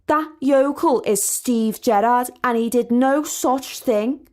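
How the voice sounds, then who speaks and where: A woman's voice: on the high side, slow. Woman doing a brash Liverpudlian student. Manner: loud, blunt, excitable.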